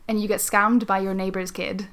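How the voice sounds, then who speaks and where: A woman's voice: medium pitch, little pitch movement, normal speed, a crowd in the background. A woman co-hosting a podcast in casual conversation, with a playful, teasing way of talking. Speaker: podcast co-host. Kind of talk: casual chat.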